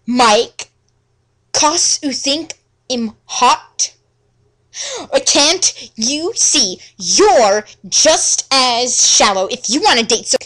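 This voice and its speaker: A woman's voice: on the high side, a bit bright, full character voice. A woman playing a moody, self-absorbed teenage girl who narrates her romance in a mock-earnest fan fiction reading. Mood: scornful.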